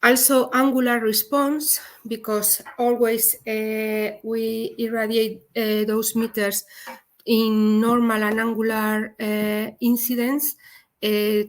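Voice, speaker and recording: A woman with a Spanish accent giving a technical webinar presentation, speaking haltingly with frequent pauses. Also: on the high side. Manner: hesitant, halting non-native delivery with frequent pauses. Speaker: presenter, head of a dosimetry service.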